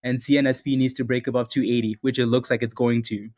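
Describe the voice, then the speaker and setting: A man hosting a live stock trading stream, chatting casually and upbeat, repeating phrases and calling out viewers by name, a little hoarse and clearing his throat now and then. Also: medium pitch, flat delivery, quick pace, darkish tone. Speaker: stock trading livestream host. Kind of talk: live market commentary with chat shout-outs.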